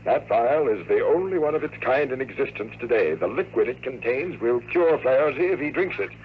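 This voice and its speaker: Male actor for a calm, threatening schemer, 1950s radio drama, measured yet expressive, thin phone-like sound with hiss. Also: medium pitch, lightly acted. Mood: coolly threatening. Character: calm, menacing longevity expert holding a gun. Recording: noisy, narrow-band vintage radio recording.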